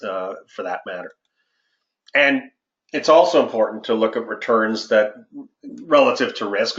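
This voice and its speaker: A roomy, slightly dull conference-call recording of a man with a mid-range voice. He talks clients through a presentation as a financial advisor, haltingly, often doubling back on his words. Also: medium pitch, a bit bright. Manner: halting, hesitant delivery with repeated words. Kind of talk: informal slide presentation to clients. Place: indoor room on a conference call.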